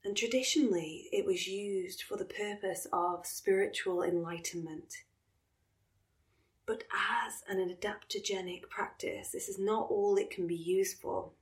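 A woman guiding a relaxation meditation in a soothing, unhurried manner, with phrases trailing into breathiness. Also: mid-range voice, steady tone. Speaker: yoga nidra meditation guide.

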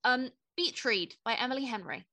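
A young woman co-hosts a relaxed book podcast. Her voice is very bright and sits a little high. She talks with enthusiasm, feeling her way through phrases as she goes. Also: normal speed. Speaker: podcast co-host. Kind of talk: casual book chat.

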